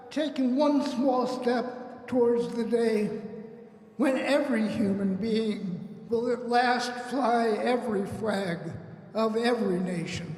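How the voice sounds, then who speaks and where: A man with a very high-pitched voice presents poetry at a live event. He speaks slowly and deliberately, with a declamatory touch. The microphone sound is swimming in the echo of a large hall. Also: darkish tone. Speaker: poet reading at a festival. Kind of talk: live poetry reading and spoken introductions. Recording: echoey hall with long reverb.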